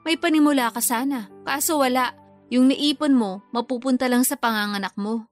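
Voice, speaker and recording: Actress as high-voiced, scolding Filipino younger sister. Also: female voice, moderate pace, faint music behind, lightly acted. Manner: frank, reproachful. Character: blunt, scolding younger sister, reproachful but caring. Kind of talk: Filipino radio drama acting.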